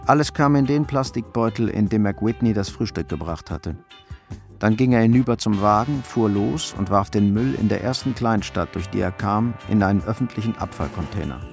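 Casting: male crime-drama narrator, lowish register, sober scripted storytelling, music bed behind. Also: normal speed, gravelly, darkish tone.

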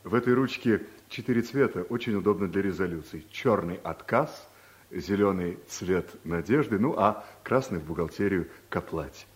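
A suave, boastful deputy. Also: male voice, low voice, animated, moderate pace. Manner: suave, showing off. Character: smooth, boastful man, newly arrived deputy. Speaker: deputy director. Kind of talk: 1970s studio radio comedy acting.

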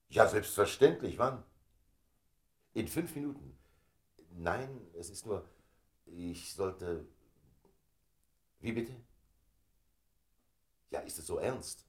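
An actor playing an important, urbane man of fifty-six in a German radio play, weary yet dutiful and proud, with theatrical emphasis. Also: on the low side. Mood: soft, attentive.

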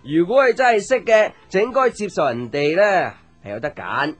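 Music plays faintly under a playful young friend in a radio drama, speaking Hong Kong Cantonese in a rather high voice. He teases and jokes in an animated, conversational way, with a caring streak. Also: moderate pace. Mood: playful, mischievous. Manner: teasing, joking, animated with exclamations.

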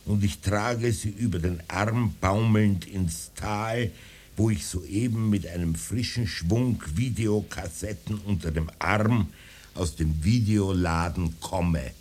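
An old man delivering a radio-play monologue in a dark, mellow, fairly low voice. He unspools long sentences very slowly and evenly measured, treating his absurd apocalyptic visions as dry matter of fact.

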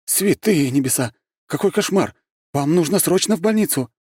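A man's voice: on the high side, expressive, moderate pace, darkish tone, breathy edge, no room sound, studio quality, voice pushed up. A man voicing a startled, solicitous male healer in an audiobook, alarmed and exclamatory. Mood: surprised, alarmed. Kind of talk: audiobook character voice.

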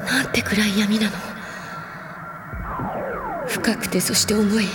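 A woman's voice: mid-range voice, fairly even intonation, takes it slowly, lightly acted. An actress playing a resolute, refined young woman in a Japanese anime audio drama, formal, composed and defiant. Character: resolute, refined young woman facing her inner demon. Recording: loud background music.

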